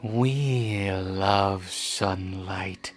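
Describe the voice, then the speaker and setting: A man's voice: deep voice, very slow. A man playing a sardonic giant troll guard with a dry, needling, slangy manner in a fantasy audio drama. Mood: dry, teasing. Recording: music bed behind the voice.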